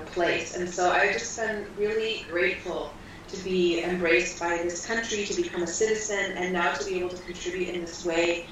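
A woman's voice: lowish voice, fairly bright tone. A woman guest describing her school in flowing, earnest interview answers with frequent ums, heard from far off in a very echoey, noisy room.

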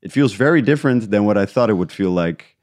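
A man from the Netherlands, talking casually in an interview, halting as he thinks aloud. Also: lowish voice, expressive, a little mellow, no echo, studio quality. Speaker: guest, independent podcast creator.

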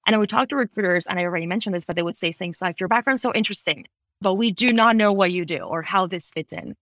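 A woman's voice: medium pitch, a bit bright, no room sound, clean studio sound. A woman in her thirties from Ecuador, a podcast guest talking articulately about her career, often correcting herself mid-sentence. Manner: fast, articulate, frequent self-corrections. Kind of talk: conversational interview. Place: home office, Bay Area.

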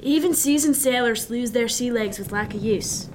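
A woman plays a young eighteenth-century ship's officer, level-headed and dryly witty. The voice is on the high side and speaks in formal nautical phrases. There is some ambient noise behind it, as in an audio drama. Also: moderate pace, close to an everyday voice. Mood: dryly teasing. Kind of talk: period radio drama acting.

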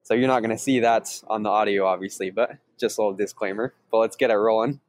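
A man co-hosting a podcast, explaining his sales approach in casual chat, upbeat and energetic. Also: on the low side, fast talker, dry recording. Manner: upbeat, energetic, laughs easily. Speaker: podcast co-host, sales trainer. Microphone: remote call, each on own mic.

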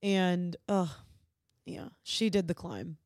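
A woman's voice: on the low side, fairly even intonation, slow pace, a little mellow, dry recording, studio quality. Chatty young woman, laughing easily. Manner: chatty, laughs often, puts on voices when quoting herself. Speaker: podcast guest. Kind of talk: casual chat.

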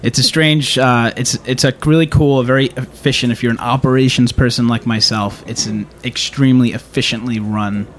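A man hosting a radio show in a studio, chatting casually in a halting, rambling way. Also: medium pitch, fairly even intonation, a bit bright, a little hiss or hum. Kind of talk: casual talk show chat.

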